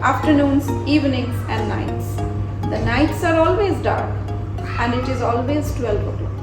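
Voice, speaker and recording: Woman translator being interviewed, high voice, thinks out loud with lots of restarts, echoey room. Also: over music. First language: not Dutch. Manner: thoughtful, halting restarts when searching for words. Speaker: translator and author, guest. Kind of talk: reflective interview answers.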